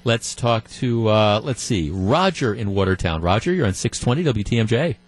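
Plauderhafter Radiomoderator mit Stottern. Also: male voice, on the low side, animated, moderate pace. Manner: stammering restarts, chuckling, chatty. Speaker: radio talk show host. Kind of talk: call-in radio chat. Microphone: studio microphone.

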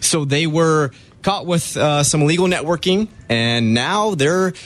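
A man's voice: on the high side, measured pace. A man co-hosting a sports radio show, reading out news stories and stumbling over words now and then.

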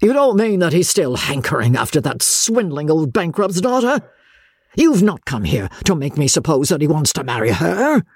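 A man's voice: on the high side, creaky, slightly rough, dry recording, clean studio sound, pitched above the performer's own voice, full character voice. A man playing a coarse, blustering old merchant. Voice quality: coarse, bullying.

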